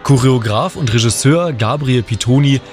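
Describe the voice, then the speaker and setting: A man's voice: medium pitch, moderate pace. A man reading scripted narration as a reporter for a radio culture feature. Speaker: news reporter. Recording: music bed behind the voice. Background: music.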